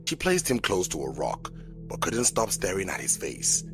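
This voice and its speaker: Very deep-voiced male storyteller over music. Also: huge pitch swings, moderate pace. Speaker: narrator. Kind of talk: scripted audio drama narration, storytelling.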